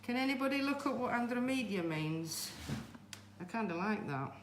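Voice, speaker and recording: A woman's voice: fairly high pitch, expressive, moderate pace, roomy sound, noisy background. A Greek-born woman with a Yorkshire accent hosting a casual live craft stream, chatting and greeting viewers by name. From Greece. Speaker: livestream host.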